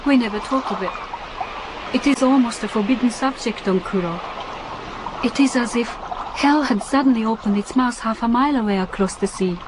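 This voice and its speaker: A woman's voice: a little high, normal speed, noisy background, lightly acted. An actress playing a young Japanese island diving woman in a radio drama, earnest and practical, with careful, formal phrasing. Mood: grave, earnest.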